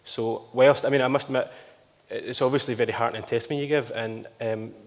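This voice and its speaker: A man's voice: mid-range voice, steady tone, fast talker. A man speaking in a public debate, hesitant with many fillers and restarts. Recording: long hall reverb.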